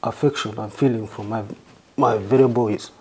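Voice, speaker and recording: A man from southern Uganda with a Ugandan accent, answering interview questions in halting, formally worded phrases. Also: lowish voice, steady tone, a little mellow, slight background noise, rough recording. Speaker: interview guest.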